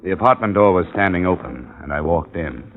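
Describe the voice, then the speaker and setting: Low, tinny-sounding radio detective. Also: male voice, lively intonation, a little fast. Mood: moody, atmospheric. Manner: terse, businesslike. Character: cool, matter-of-fact male freelance insurance investigator. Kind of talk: 1950s radio detective acting and narration. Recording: tinny vintage radio recording.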